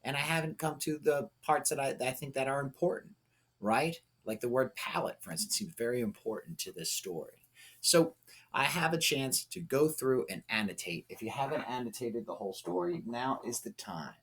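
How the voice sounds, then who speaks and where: A man's voice: mid-range voice, expressive, normal speed, a bit bright, breathy edge. A man teaching a lesson, thinking aloud and coaching step by step with short check-in questions. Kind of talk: instructional lesson, thinking aloud through a writing example.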